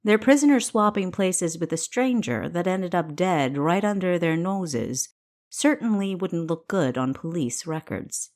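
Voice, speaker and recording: Low-voiced, slow-paced woman narrator. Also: expressive, darkish tone. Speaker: author reading own audiobook. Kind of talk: scripted fiction narration.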